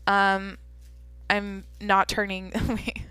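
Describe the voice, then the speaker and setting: A woman's voice: medium pitch, monotone, extremely slow, bright, forceful tone, smooth, no room sound, low-quality recording. A woman in her twenties from Washington State, hosting a casual solo Q&A podcast in a rambling, candid way with lots of fillers and swearing. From Washington State, USA. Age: 20s. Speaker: podcast host.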